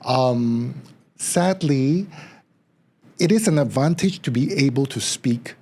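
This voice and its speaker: A man, a feng shui consultant telling his story as an interview guest, animated and acting out what others said. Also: medium pitch, slow pace, slightly rough. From Singapore. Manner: animated storyteller, acts out quoted remarks with a lifted voice.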